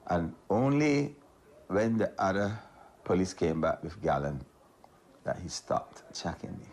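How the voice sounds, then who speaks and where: A man with a Barbadian accent and an extremely low, gravelly voice. He tells his story in a calm, plain interview manner. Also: unhurried, a little hiss or hum.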